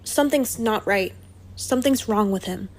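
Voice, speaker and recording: A woman's voice: medium pitch, moderate pace, natural voice. A woman playing a wary, curious young witch girl in an audio drama, pointed and watchful in manner.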